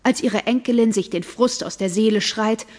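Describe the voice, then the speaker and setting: A woman narrating a radio play in a calm storytelling manner. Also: fairly high pitch, normal speed.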